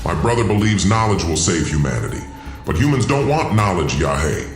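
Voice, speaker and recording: A man performs an imperious alien overlord for a science fiction audio drama. The voice is low and highly animated, issuing commands with contempt, in an echoing space. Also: fairly quick, soft background music. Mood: contemptuous, zealous. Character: scheming, imperious male alien overlord posing as god.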